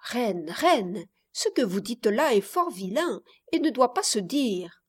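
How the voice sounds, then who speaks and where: A woman voicing a young marquise, an indulgent mother, fond and gently chiding, in an audiobook. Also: a little high, big pitch swings, takes it slowly, slightly rough, full character voice. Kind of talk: audiobook character voice.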